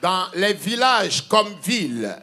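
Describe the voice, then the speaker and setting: A man's voice: high-pitched, very animated, slow pace, sharp tone. A middle-aged man with a Togolese accent, an evangelist giving solemn testimony to a crusade in short, declarative phrases. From Togo. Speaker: evangelist preacher.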